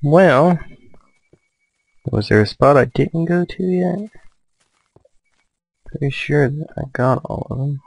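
A man giving casual, low-key let's play commentary, musing hesitantly to himself as he plays. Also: expressive, slow, creaky, pauses often, dry recording, poor audio. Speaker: gamer, let's play host.

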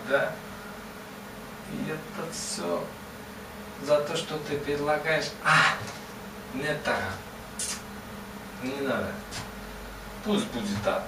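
A man's voice: mid-range voice, animated, slow, long pauses. A man voicing old, bearded Confucius as a folksy sage, rambling and asking "right?" after every phrase. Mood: earnest, wise. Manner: rambling, repeats "да?" and "вот" constantly. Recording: roomy, distant mic, ambient noise.

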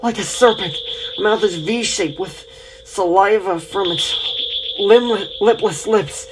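A performer playing an excitable, stammering field reporter in a 1930s-style radio drama, urgent and awed. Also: mid-range voice, slow pace, close to an everyday voice. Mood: horrified. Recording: crowd noise behind.

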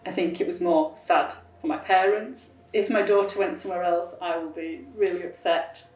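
A measured woman in her forties from South Yorkshire, England, with a slightly low voice. She is picked up from a distance in a roomy space. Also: fairly even intonation, darkish tone. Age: 40s. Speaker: interviewee. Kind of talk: documentary interview. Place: indoor room by large windows. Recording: roomy, distant camera mic.

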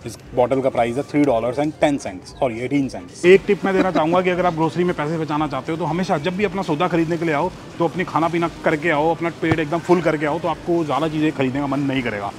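Fast-talking man vlogging in a supermarket. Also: fairly high pitch, music in the background. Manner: chatty, reads out prices to camera. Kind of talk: casual shopping vlog. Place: large supermarket, indoors. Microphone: phone held at arm's length.

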